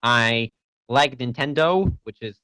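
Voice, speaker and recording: Young man, Polish native, GM on voice chat; halting, checks in a lot, dry mic, middle pitch. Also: pushed and bright, smooth, dry recording, low-quality recording. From Poland. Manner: hesitant, self-deprecating, often checks in with "right?". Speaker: game master. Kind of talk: casual online tabletop game chat.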